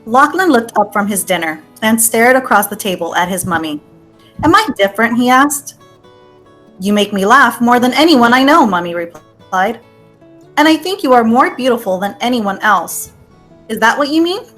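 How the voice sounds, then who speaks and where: Higher-voiced woman reading over guitar. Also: animated, moderate pace, long pauses, fairly bright tone. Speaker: reader. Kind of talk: read-aloud of a children's picture book. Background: guitar music.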